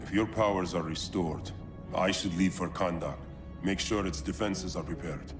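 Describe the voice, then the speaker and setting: A stern, regal game antihero voiced by a man, very low and formal. His commanding voice is roomy and a little distant, under loud music. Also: normal speed, natural voice. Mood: grave. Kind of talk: video game voice acting.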